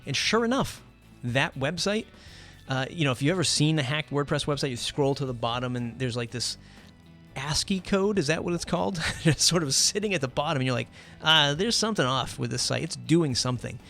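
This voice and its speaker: A man's voice: fairly high pitch, lively intonation, fairly quick, vocal fry, fairly bright tone, faint music behind. A man hosting a podcast in a casual, ad-libbed way, chatty and informal, repeating phrases for emphasis. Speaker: podcast host. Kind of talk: casual ad-libbed sponsor reads and interview.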